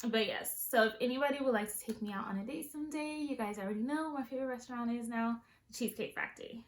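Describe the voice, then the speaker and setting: Woman, twenties, sassy chatty vlogger, somewhat high voice, echoey room. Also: quick pace. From Southern California. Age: 20s. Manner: chatty, sassy, playful asides. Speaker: beauty vlogger. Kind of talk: casual chat.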